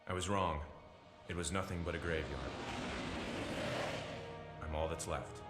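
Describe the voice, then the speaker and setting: A man's voice: deep voice, a little slow. An actor playing a stoic, solemn male alien superhero in a video game, earnest and restrained. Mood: grave, mournful. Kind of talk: video game hero acting. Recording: echoey, under loud music.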